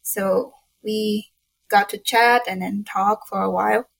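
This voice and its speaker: A woman's voice: mid-range voice, fairly even intonation, slow, gravelly, a bit bright, low-quality recording. A woman with a Thai accent, whose first language is Thai, answers interview questions. She talks in simple, earnest sentences. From Thailand. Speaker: food truck co-owner.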